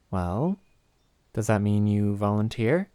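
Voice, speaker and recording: A man's voice: fairly low pitch, warm tone, clean tone, no room sound. A man playing a sly, mocking vampire who poses as an awkward hiker in an audio drama. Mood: coy, evasive. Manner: halting, coy, mocking. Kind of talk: cinematic audio roleplay acting.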